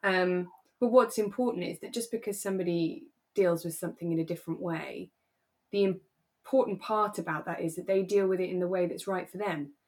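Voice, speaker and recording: A woman's voice: on the low side, a little fast, a little mellow. A woman co-hosting a podcast, chatting casually in an earnest, emphatic and affirming way. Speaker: podcast co-host. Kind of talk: casual chat reviewing a radio drama.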